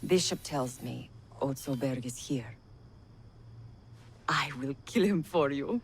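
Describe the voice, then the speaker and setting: A woman's voice: a bass voice, takes it slowly, slight background noise, heavily acted. A woman playing a blunt, fearless foreign assassin in a video game, teasing, with formal phrasing. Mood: blunt, assured.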